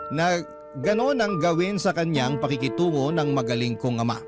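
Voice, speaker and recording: An actor playing a sorrowful letter writer, narrating a painful family past reflectively in a radio drama. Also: male voice, on the high side, close to an everyday voice. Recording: loud music bed over voice. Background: loud music.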